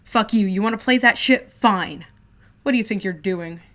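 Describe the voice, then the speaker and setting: A woman voicing a sarcastic, chain-smoking older brother, a young man with a deep mocking voice. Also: steady tone, moderate pace, close to an everyday voice. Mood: mocking, shouting. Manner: mocking, contemptuous.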